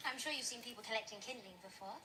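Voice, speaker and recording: A woman's voice: on the high side, racing, sharp tone, reverberant, far from the mic, a little hiss or hum. A woman playing a sweet young peasant in a theatrical pantomime. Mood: sweet, curious.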